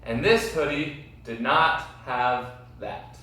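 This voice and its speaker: A man reading a children's story aloud expressively, with deliberate, dramatic phrasing and questions to his listeners. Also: medium pitch, bright, forceful tone. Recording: very echoey room, distant mic.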